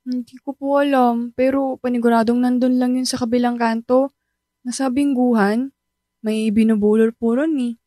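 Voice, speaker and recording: A woman's voice: on the high side, fairly even intonation, normal speed, warm tone, no echo, natural voice. A woman voicing a polite, studious teenage child in a radio drama who talks respectfully to a parent.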